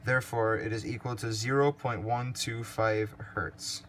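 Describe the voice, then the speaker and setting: Teenage boy, middle pitch, slow step-by-step explaining, faint hiss. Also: fairly even intonation, a bit bright. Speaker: student presenter. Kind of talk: read-aloud worked calculations.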